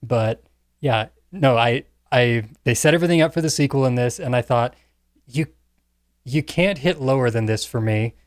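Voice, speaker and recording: A man's voice: medium pitch, normal speed, dry recording, clean studio sound. A middle-aged man talking casually as a co-host on a panel podcast.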